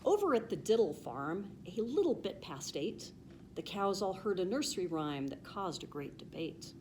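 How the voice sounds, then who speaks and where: An American woman reading a children's storybook aloud with expressive, emphatic, sing-song rhyming delivery. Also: medium pitch, moderate pace, slight background noise.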